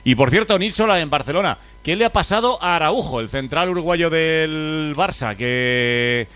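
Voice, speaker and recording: A man with an accent from Spain, hosting a live sports radio panel in a lively conversational style. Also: a little high, normal speed. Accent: Spanish, from Spain.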